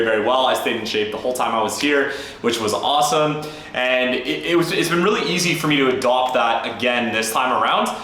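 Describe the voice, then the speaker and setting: A man's voice: medium pitch, fairly bright tone. A young Canadian man talking casually and frankly to camera as a travel vlogger, with lots of fillers. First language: English. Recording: very echoey room with faint ambient noise.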